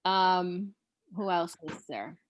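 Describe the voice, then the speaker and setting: A woman's voice: lowish voice, slow, no room sound, lo-fi sound. A woman playwright answering audience questions on a video call, thinking aloud with many restarts. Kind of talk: casual Zoom Q&A chat.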